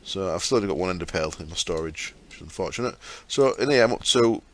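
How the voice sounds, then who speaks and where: A man hosting a gaming channel, explaining his setup step by step in a chatty way with fillers, his throat sore and croaky. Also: deep voice, animated, fast talker. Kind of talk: casual game commentary.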